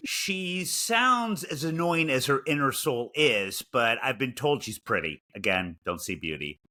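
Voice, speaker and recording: A man's voice: medium pitch, expressive, a little slow, sharp tone. A man with a Chicago accent and a nasal voice, co-hosting a podcast in dry, self-mocking, rambling banter. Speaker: podcast co-host. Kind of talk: casual banter.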